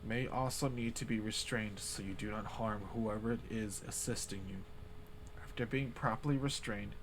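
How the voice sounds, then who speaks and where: A man voicing the unseen author of a grim ritual journal, reading slowly in a hushed, ominous low voice. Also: background noise, lightly acted.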